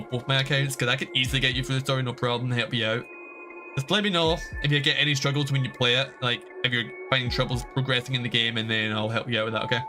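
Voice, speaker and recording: A young man livestreaming a video game, chatting casually and explaining things in a rambling, informal way. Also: mid-range voice. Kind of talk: casual stream chat and game advice.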